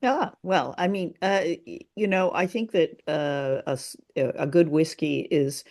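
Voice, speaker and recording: Canadian woman podcast host, lots of vocal fry, wry n thoughtful, bit hesitant, casual chat. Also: deep voice, unhurried, a little mellow. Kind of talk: casual chat reviewing a radio drama.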